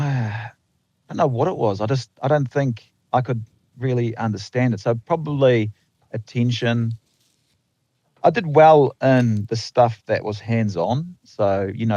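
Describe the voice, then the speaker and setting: A man's voice: mid-range voice, creaky, mellow, dry recording. A Dutch-born man with a slight New Zealand accent, a lineman chatting as a podcast guest, rambling with lots of fillers and restarts, in a slightly lo-fi recording. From Netherlands. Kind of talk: casual interview chat.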